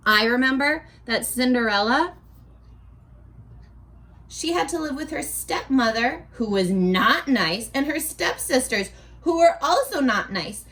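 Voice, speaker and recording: A woman teaching young children in a read-aloud lesson, speaking in an animated, playful way and asking questions as if the viewers answer back. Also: high-pitched. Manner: asks questions and answers imagined replies.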